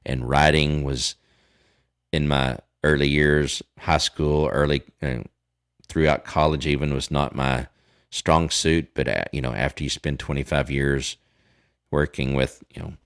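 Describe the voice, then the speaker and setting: A man in his forties speaks with a Texas Southern accent in an extremely low voice. He talks loosely and rambles, filling gaps with ums and starting sentences over. The sound is close and completely dry. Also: no room sound. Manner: rambling, many fillers and restarts. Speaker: livestream host. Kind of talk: casual chat.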